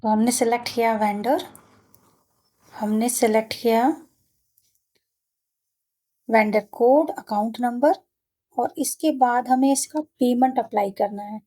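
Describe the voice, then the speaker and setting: Mellow, higher-pitched woman giving a tutorial. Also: unhurried, pauses often, low-quality recording. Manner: instructional, often checking in with "okay". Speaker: software trainer. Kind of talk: step-by-step screen tutorial, informal spoken explanation.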